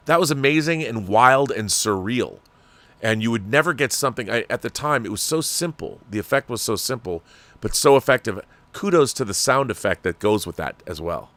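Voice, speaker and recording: A man's voice: on the low side, a bit bright, dry recording. A middle-aged American man hosting a casual fan livestream, reading viewer messages aloud and chatting with opinionated asides.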